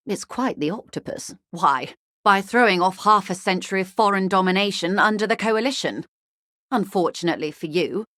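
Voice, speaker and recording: An actress playing a bourgeois corporate negotiator in a video game, polite and articulate, with a measured, drily condescending wit. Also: female voice, on the low side, a bit bright, dry recording, clean studio sound, close to an everyday voice. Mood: dry, pointed. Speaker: senior labour negotiator. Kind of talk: video game dialogue voice acting.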